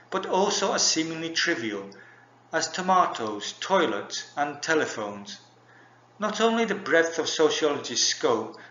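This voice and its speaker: A man giving a measured, formal university lecture read from a script. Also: on the high side, pushed and bright, slight background noise. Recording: echoey dull lo-fi recording.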